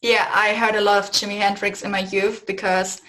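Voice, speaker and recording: Woman host firing off quick questions. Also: medium pitch, flat delivery, a bit bright. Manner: brisk and friendly, laughs often. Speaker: podcast host. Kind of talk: quick-fire interview questions.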